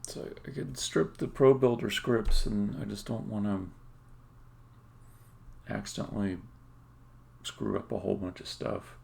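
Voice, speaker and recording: A man's voice: lowish voice, fairly even intonation, measured pace, vocal fry, pauses often, a little mellow. A man thinking aloud hesitantly in casual live-coding commentary, as a game developer streaming his work. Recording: faint noise, keyboard typing and clicks. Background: keyboard typing and mouse clicking.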